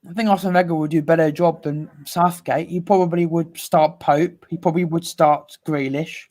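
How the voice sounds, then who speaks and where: A man's voice: on the high side, fairly even intonation, normal speed, a little mellow. A young man, co-hosting a casual football chat and rattling off team lineups and player names. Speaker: co-host.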